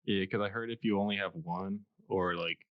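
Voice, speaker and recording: Casting note: deep, low-pitched male podcast host, laid-back and joking, dull, dry sound. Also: fairly even intonation, moderate pace, no room sound. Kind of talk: casual interview chat. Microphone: dynamic studio mic up close.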